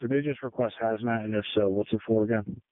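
A man's voice: on the low side, fairly even intonation, fast, dry recording. A male firefighter making brief emergency calls over a two-way radio. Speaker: firefighter calling in. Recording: tinny dry fire radio transmission.

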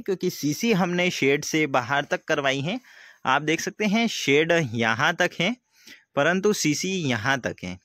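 A young man, a dairy farmer giving a casual, halting walkthrough and often repeating himself as he explains. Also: on the high side, fairly bright tone, no echo, studio quality. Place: outdoors in an open-sided farm shed. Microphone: phone at arm's length.

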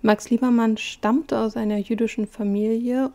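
A woman narrating a voice-over art quiz, explaining clues in a calm, measured way with small hesitations. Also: a little high.

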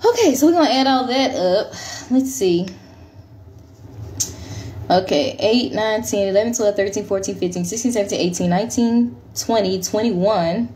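A young woman, casually vlogging to viewers in an excited, exclamatory way, filmed on a phone in a small bedroom. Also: mid-range voice, normal speed, slight background noise. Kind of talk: casual vlog. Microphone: phone camera close up.

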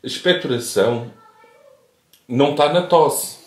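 A man's voice: mid-range voice, reverberant. A young man, co-hosting a podcast, joking and teasing in casual banter. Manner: joking, teasing, quick to laugh. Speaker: podcast co-host reacting to a video. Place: small wood-panelled studio room.

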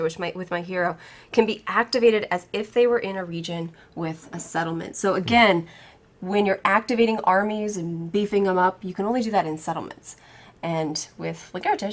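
A woman in her thirties, co-hosting a board game show, explaining rules in a clear, upbeat, instructive way. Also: medium pitch. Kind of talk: rules explanation and casual play chat. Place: home studio set with brick wall, indoors.

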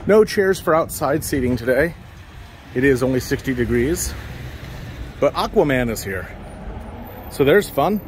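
A man narrating a walking tour of a town's streets in a relaxed, musing, meandering way, recorded on a camera mic as he walks. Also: medium pitch, measured pace, long pauses. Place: outdoors on city streets. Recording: outdoor street noise behind. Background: passing cars and street ambience.

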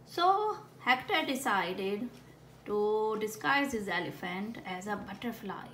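A woman's voice: medium pitch, lively intonation, takes it slowly, fairly bright tone. A woman reading a picture book aloud expressively, with exaggerated storytelling and playful asides for children. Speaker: children's story reader with a frog puppet. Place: indoors at a wooden table. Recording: roomy home recording with background noise.

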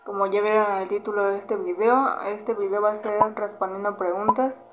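A woman in her twenties from Mexico with a Mexican Spanish accent, answering viewer questions in a relaxed, chatty vlog, humming between questions and recorded on a camera held close in a small bedroom. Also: medium pitch, normal speed, noisy background. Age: 20s. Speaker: YouTuber. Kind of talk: casual Q&A vlog.

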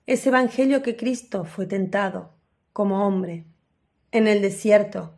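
A woman narrator reading a scripted devotional commentary in a solemn, deliberate manner, with pauses. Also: a little high, a little mellow.

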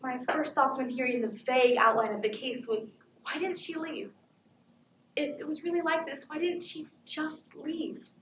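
An incredulous woman juror acted by a teenage girl speaks a halting, emphatic stage monologue in a high voice. It echoes around a theatre and is picked up from a distance. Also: steady tone, a bit bright, a little hiss or hum. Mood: incredulous, exasperated. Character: troubled, incredulous twice-divorced female juror. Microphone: far camera.

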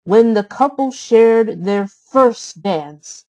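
A woman narrating a children's storybook in an expressive, deliberate read-aloud manner. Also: on the high side, dry recording, faint music behind.

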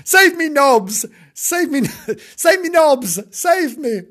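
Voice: mid-range voice, sing-song, a bit bright, husky, full character voice. A storyteller voicing a frightened, boastful little male kitten with dramatic, pleading wails. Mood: panicked. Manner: dramatic wailing, drawn-out pleading cries.

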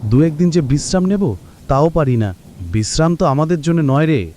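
Man acting a bright-voiced, earnest but not shrill poor fisherman. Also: animated, moderate pace, lightly acted. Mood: weary, fearful. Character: poor, anxious village fishermen and their boss. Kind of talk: cartoon voice acting.

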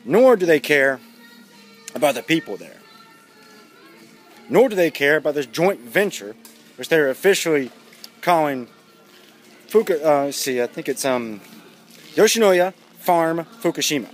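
A man in his thirties giving blunt, sardonic, casual commentary to camera, recorded outdoors on a handheld device at arm's length. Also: on the high side. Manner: blunt, emphatic, sardonic, with swearing. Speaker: vlogger giving news commentary. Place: outdoors, walking in a residential neighborhood. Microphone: phone or camera at arm's length.

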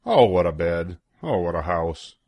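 An older man reading a children's picture book aloud in an expressive, sing-song way with deliberate pauses. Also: low-pitched, no room sound. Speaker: storybook reader.

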